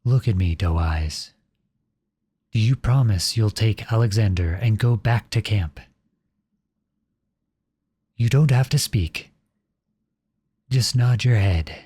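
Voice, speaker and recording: A man playing a protective, noble outlaw prince in an earnest, dramatic ASMR audio roleplay, with a soft, husky voice close to the mic. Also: lowish voice, slow, pauses often, no room sound. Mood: tender, reassuring. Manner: earnest, dramatic, addresses unseen listeners directly. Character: outlawed young prince, protective, noble, devoted to his beloved. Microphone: close studio-style microphone, dry.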